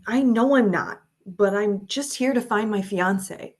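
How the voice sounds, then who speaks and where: A woman's voice: mid-range voice, measured pace, close to an everyday voice. Woman playing a determined, practical young woman. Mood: wary. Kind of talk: screenplay table read acting.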